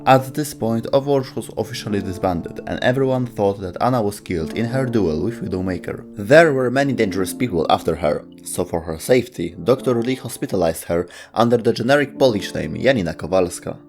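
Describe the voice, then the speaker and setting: A man with a fairly low voice reads scripted story narration as a narrator. Faint music plays behind him. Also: expressive, a little fast, hardly any pauses. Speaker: narrator of game lore video show.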